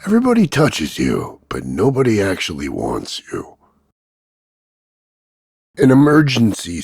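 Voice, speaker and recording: A low, gravelly macho action hero for a voice-over demo. He is cocky and gruff, drawling his mocking one-liners slowly with big swings in pitch. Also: no echo, studio quality, heavily acted. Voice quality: gravelly, husky. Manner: deadpan, drawling, mocking delivery. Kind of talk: scripted voice-over demo of one-line insults.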